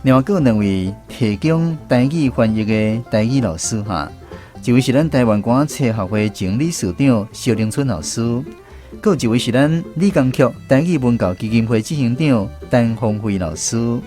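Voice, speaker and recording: A man's voice: mid-range voice, lively intonation, normal speed, mellow, soft background music. A man with a Taiwanese accent hosting an educational language quiz on the radio. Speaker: radio host of a language segment.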